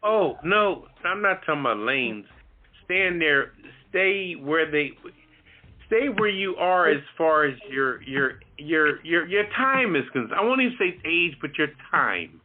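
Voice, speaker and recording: A man's voice: on the high side, very animated, measured pace. A man co-hosting a casual talk show discussion, posing rambling rhetorical questions. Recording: muffled, band-limited audio.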